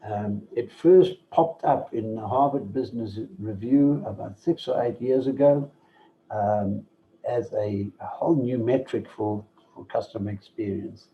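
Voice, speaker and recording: A man giving an online masterclass from slides, measured and listing points with pauses and fillers, over a computer mic without a headset. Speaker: masterclass presenter. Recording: dull low-fi online call audio with faint noise.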